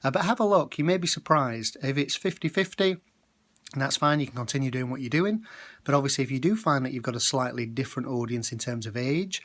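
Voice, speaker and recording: A man's voice: medium pitch, a little fast, a bit bright. A man presenting informal social media advice in a conversational, explanatory way, hesitating mid-phrase as he goes.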